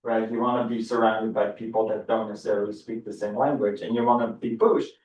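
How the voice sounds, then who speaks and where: A man's voice: fairly low pitch, level tone, brisk, darkish tone. A middle-aged man with a Dutch accent gives a university seminar lecture in a room, eager and full of repeated words and restarts. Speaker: biology professor giving a seminar. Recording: echoey room, far from the mic.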